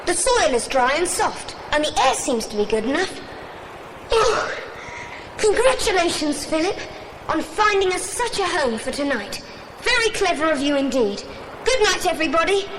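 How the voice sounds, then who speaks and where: A woman playing a sensible, well-spoken British girl who briskly takes charge in a children's adventure audio drama. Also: very high-pitched, big pitch swings, background noise. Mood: relieved.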